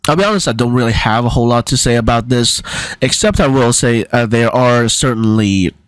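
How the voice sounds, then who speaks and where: A man's voice: mid-range voice, fairly quick, clear, resonant tone, no room sound. Hesitant man explaining, dry sound. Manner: hesitant, many fillers and restarts. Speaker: amateur linguist. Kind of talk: casual explainer vlog.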